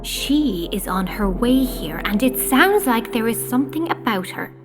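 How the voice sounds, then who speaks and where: A woman playing a commanding, anxious Snow Queen in a children's audio drama, grave and deliberate. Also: fairly high pitch, expressive. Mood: grave, worried. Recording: clear music behind.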